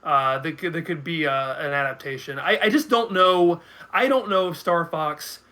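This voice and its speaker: A man giving casual commentary as a guest, halting and often restarting his phrases. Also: fairly high pitch, pushed and bright. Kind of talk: casual chat.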